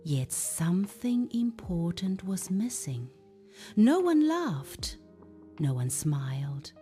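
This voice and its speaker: Woman reading a kids story, lowish warm voice, takes it really slow. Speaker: storyteller, narrator. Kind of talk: scripted children's story narration.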